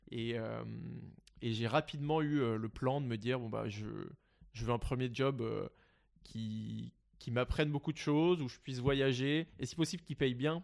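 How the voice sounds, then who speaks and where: A man's voice: medium pitch, creaky. A young man from the Paris region of France, a guest in an interview, telling his story casually with many fillers and restarts. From Seine-et-Marne, France. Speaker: guest investor.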